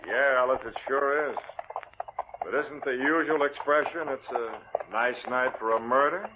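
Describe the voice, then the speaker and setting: An actor playing a glib, quick-tempered young American suitor in a 1940s radio drama, on an old recording. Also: male voice, mid-range voice, narrow, tinny audio, noisy background, lightly acted. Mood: indignant.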